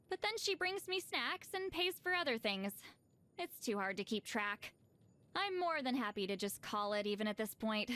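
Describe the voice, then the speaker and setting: A youthful, high-voiced shaman woman from a video game. Her delivery is very animated, swinging up and down in pitch. She sounds proud yet socially awkward, with a hesitant, slightly huffy way of explaining herself. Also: heavily acted. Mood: exasperated, flustered. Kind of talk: animated game character voice lines.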